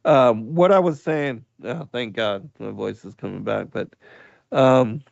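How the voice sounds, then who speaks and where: A man hosting a radio livestream, hoarse, with his voice going out, talking slowly and haltingly with many pauses while he reads from the chat. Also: medium pitch, no echo, highs cut off. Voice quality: gravelly. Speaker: radio show host.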